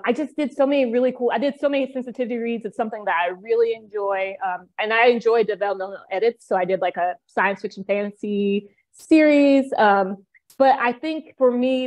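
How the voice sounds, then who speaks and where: A woman's voice: mid-range voice, a little fast, a little mellow. A woman book coach talking as a guest in a casual interview, rambling with many fillers.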